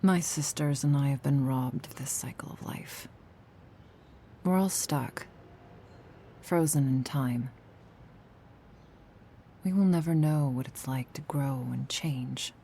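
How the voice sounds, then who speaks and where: Woman acting a low, slow, creaky vampire woman. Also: mellow, a little hiss or hum, exaggerated voice. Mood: solemn, wistful. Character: ageless vampire woman gardener, sardonic yet tender, protective. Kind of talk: slow intimate ASMR roleplay acting.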